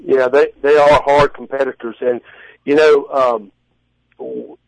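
A man in his forties speaking as an interview guest, telling stories casually in halting, folksy phrasing. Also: medium pitch, steady tone, creaky, no echo, poor audio. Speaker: guest, strongman competitor and contest judge. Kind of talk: casual interview answers and storytelling.

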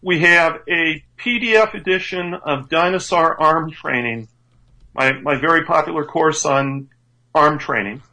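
Bright high-voiced man in his fifties. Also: measured pace, rough recording. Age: 50s. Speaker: strength coach and author. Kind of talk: casual radio show chat.

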